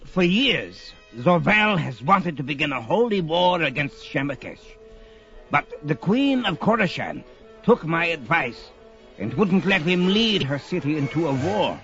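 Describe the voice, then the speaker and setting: A man acts out an aged wizard in a fantasy radio play, weary yet stubborn. His voice sits high and swings widely with stagey gravity, on a dull recording over prominent music. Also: full character voice. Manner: theatrical, grave, old-fashioned speech. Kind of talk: fantasy audio drama acting. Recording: dull lo-fi, loud music underneath.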